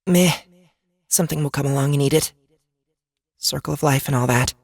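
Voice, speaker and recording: A woman's voice: deep voice, moderate pace, vocal fry, no echo, studio quality. An actress playing an irritable, sarcastic young woman druid who curses and grumbles casually in a fantasy audiobook. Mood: wry grumbling. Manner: exasperated, casual muttering and cursing. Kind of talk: fantasy audiobook character acting.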